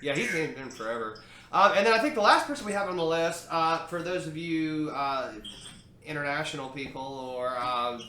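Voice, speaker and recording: Male podcast host, bright and slightly high voice, halting delivery full of fillers, roomy echo. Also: animated. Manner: halting, many filler sounds. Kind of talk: casual chat. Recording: roomy echo, faint noise.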